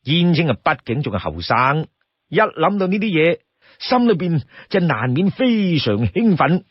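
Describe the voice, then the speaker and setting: Animated Cantonese male storyteller, slightly husky. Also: on the high side, measured pace, no room sound, lo-fi sound. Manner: expressive, rhetorical questions to listeners. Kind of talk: dramatic audiobook-style story narration.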